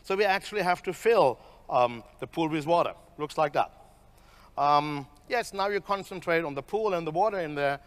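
A man's voice: a little high, lively intonation, moderate pace. A middle-aged man presenting a keynote talk on a conference stage as a professor, speaking into a headset mic. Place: large conference stage, indoors.

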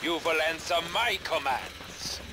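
A man's voice: fairly high pitch, animated, slow pace, noisy background. A man voicing a menacing demon overlord in a video game, grandiose and taunting, full of contempt for mortals. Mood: menacing, gloating. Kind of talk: video game villain acting. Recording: echoing, with loud music and effects.

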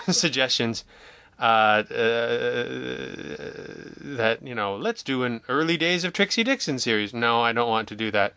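A man talking casually as an interview guest, in a rambling, halting way, emphatic in his opinions. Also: mid-range voice, bright, forceful tone, clear, resonant tone, no room sound. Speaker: podcast guest, audio drama writer and producer.

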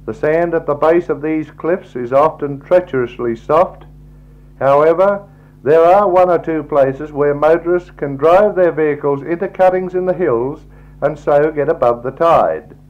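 A man with an Australian accent gives a measured, formal scripted travelogue narration in a 1960s style. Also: a little high. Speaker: slideshow narrator. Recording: dull, lo-fi 1960s tape recording.

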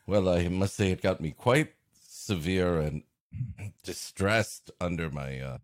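A man's voice: deep voice, takes it slowly, no room sound, clean studio sound. A man co-hosting a podcast, making improvised, wry and mock-serious comic remarks on a story. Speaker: podcast co-host.

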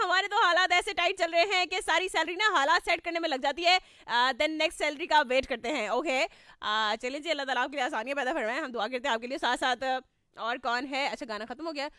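A woman's voice: sits high, brisk, bright, forceful tone, no room sound, studio quality. A young woman with a sore throat hosting a morning radio show, reading listener messages in a chatty way, laughing often and slipping into English. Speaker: radio host. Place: radio studio. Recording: music playing underneath.

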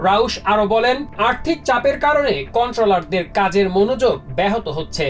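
A woman's voice: a little high, animated, a little fast, distant mic, faint music behind. A woman reporter delivering scripted news narration.

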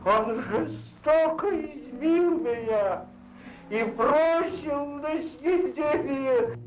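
An actor playing a scheming rich man posing as a beaten old man, with theatrical, drawn-out delivery in a Soviet cartoon. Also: very high-pitched, heavily acted. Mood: plaintive. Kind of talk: Soviet animated film acting. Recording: dull old film soundtrack with music.

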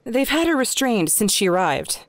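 A woman's voice: fairly high pitch, sing-song, moderate pace, no echo. An actress playing a wry, polite female doctor in an audio drama. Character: dry-humored female research doctor.

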